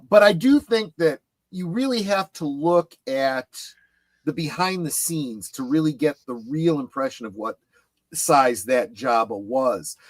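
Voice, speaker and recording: A man in a casual fan discussion, explaining things as a panel guest and often pausing mid-thought. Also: fairly high pitch, a bit bright, no room sound.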